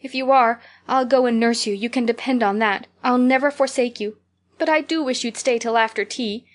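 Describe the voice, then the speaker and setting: A woman's voice: a little high, moderate pace, lightly acted. A woman reading aloud, voicing an imaginative, talkative little girl who gushes breathlessly. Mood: pleading. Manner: gushing, breathless storytelling. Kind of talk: audiobook character reading.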